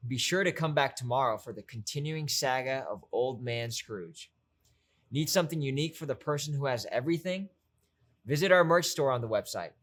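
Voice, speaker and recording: A man with a mid-pitched voice acts as host. He reads scripted closing announcements at an ordinary pace. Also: a bit bright.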